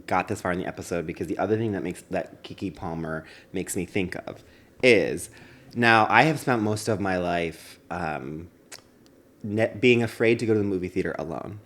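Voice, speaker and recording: Low-pitched man, chatty podcast co-host. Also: normal speed, a bit bright. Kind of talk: casual comic chat.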